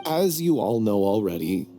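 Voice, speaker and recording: A man's voice: fairly low pitch, big pitch swings, slow pace, mellow, faint music behind. A man playing a weary, guarded and condescending theatre troupe member in an anime game, defensive in his delivery. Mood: scornful.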